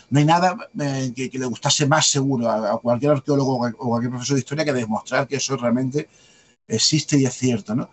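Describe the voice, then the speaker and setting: A man with a light Andalusian accent, a guest talking at length in a rapid, discursive way and tagging on questions. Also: mid-range voice, slightly rough. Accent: light Andalusian Spanish. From Andalusia, Spain. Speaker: guest, publisher and author. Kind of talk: conversational interview answers.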